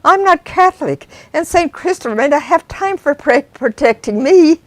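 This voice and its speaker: Woman doing an anxious high-voiced young wife. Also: expressive, moderate pace, no room sound. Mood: pleading. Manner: pleading, rising to indignant.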